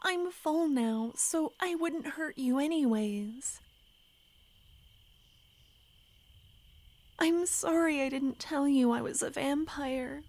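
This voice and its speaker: A woman's voice: high voice, takes it slowly, no echo. A woman playing a pleading ancient vampire maiden. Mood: apologetic. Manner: soft, pleading, drifting into whispers. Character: ancient lovesick female vampire, pleading and shy. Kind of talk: ASMR roleplay.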